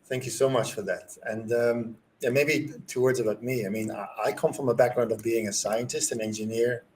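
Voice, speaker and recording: A man's voice: mid-range voice, steady tone, a little fast, slight background noise, poor audio. A man hosting an interview show, greeting viewers and asking questions in a friendly, informal way. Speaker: host.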